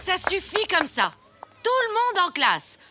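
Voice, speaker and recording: A woman voicing a stern, no-nonsense schoolteacher in a French-dubbed children's cartoon, brisk and commanding. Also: very high voice, wildly swooping pitch, over loud music, heavily acted.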